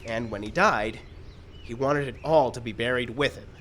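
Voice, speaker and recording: A man acting a fussy screenwriter in a live radio comedy. He is middle-pitched, plaintive and deadpan, forever suspicious and put upon. Outdoor noise and birds sit behind him. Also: moderate pace, close to an everyday voice. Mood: storytelling. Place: outdoor park stage. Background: birds and outdoor ambience.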